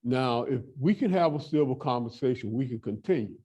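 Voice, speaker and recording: A man's voice: mid-range voice, animated, brisk, warm tone, breathy edge, muffled recording. Emphatic man giving a training talk. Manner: emphatic, repeats points, direct advice. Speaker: fair housing workshop presenter. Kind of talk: training talk to housing staff.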